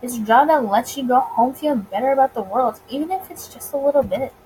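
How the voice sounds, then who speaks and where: A woman's voice: sits high, lively intonation, fast talker, warm tone, slight background noise, poor audio. Woman playing an earnest, flustered young-hearted detective. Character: earnest, easily flustered male police detective.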